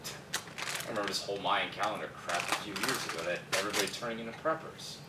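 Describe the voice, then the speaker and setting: A man's voice: low voice, quick pace, lightly acted. A man playing a bitter, sarcastic office worker in a screenplay table read, with an exasperated manner. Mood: scornful. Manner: sardonic, exasperated, swearing. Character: bitter, sarcastic male office worker jaded by daily routine. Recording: distant, echoey room with background noise.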